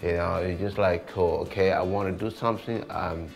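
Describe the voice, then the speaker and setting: A man's voice: low voice, fairly even intonation, normal speed, creaky, faint music behind. A man with a Senegalese accent talking informally in an interview as an artist, often repeating words and phrases. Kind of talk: casual interview talk.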